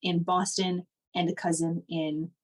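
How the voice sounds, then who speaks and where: Chatty woman, low voice. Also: little pitch movement, unhurried. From Canada. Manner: chatty, frequent "like", laughs while telling stories. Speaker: podcast co-host. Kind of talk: casual conversation.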